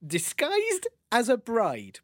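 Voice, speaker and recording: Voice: mid-range voice, wildly swooping pitch, takes it slowly, dry recording, studio quality, pitched above the performer's own voice. A storyteller voicing Loki, a sly, mischievous male trickster god who coaxes and schemes with amused persuasion. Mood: persuasive.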